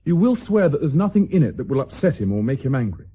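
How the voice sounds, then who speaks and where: A young, well-born British nephew in a period radio play. His voice is very breathy and rough-edged, with a soft, dark tone and lively swings in pitch. He is polite but disarmingly frank. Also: fast. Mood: earnest. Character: candid, dutiful young aristocratic nephew, a schoolboy. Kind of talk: period radio drama acting.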